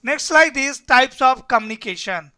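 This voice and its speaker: Bright-voiced man teaching networking. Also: very high voice, expressive, normal speed, clear, resonant tone. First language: Hindi. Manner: repetitive, keeps asking "yes or no?". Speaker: networking instructor. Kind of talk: live online class lecture.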